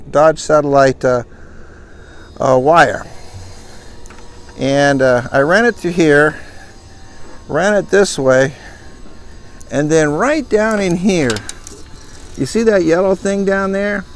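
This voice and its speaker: A man from Southern California giving a casual, folksy how-to walkthrough of his truck camper, rambling and correcting himself as he goes. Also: fairly high pitch. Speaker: vlogger.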